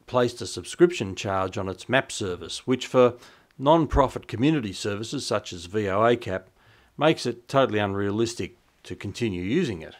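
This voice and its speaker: A man in his fifties with a fairly low voice explains things step by step. He speaks in a measured, instructional way, pronouncing terms carefully like a review presenter. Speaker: presenter of a shortwave radio review. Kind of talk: explanatory tutorial to camera and over screen demo. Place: small indoor home radio room.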